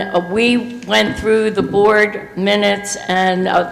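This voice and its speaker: Older man, resident at public comment, high gravelly voice, halting delivery full of fillers, hand mic in a reverberant hall. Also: fairly bright tone. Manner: halting, many fillers, reads off dates. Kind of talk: public comment at a board workshop. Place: meeting hall. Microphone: handheld microphone. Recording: echoey hall, long reverb.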